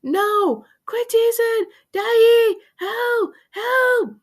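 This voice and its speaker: A woman voicing a panicked boy, wailing and pleading in high, frantic cries for a storybook. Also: very slow, heavily acted. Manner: shrieking, pleading, mumbled cries. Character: stuck older brother, panicked wailing boy.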